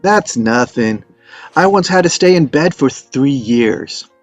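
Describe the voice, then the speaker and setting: A man's voice: medium pitch, expressive, slow pace, no room sound, faint music behind. An actor in a script table read playing an ailing old father, a stubborn, folksy teller of tall tales who dodges with jokes. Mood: wry, stubborn. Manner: folksy, evasive, joking storyteller.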